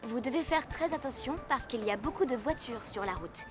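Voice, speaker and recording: A woman's voice: fairly high pitch, lively intonation, a little fast, over music, close to an everyday voice. Patient, earnest female rescue robot played by an actress. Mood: earnest teaching. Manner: instructive, earnest, clearly phrased. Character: patient, caring female rescue-vehicle robot teaching children. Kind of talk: French-dubbed children's cartoon acting.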